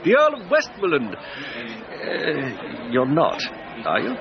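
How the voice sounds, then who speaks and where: Affable older monarch, inquisitive, lively swooping pitch, crowd behind him, period radio drama. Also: male voice, slow, heavily acted. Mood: genial, curious. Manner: genial, regal, hesitant small talk. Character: affable, chuckling, inquisitive older English king. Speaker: actor in a radio play. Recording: muffled, outdoor crowd behind.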